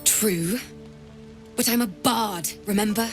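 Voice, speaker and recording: A woman's voice: medium pitch, slow pace. An actress playing a timid, earnest young female bard in a fantasy video game, hesitant and pleading, with music behind her.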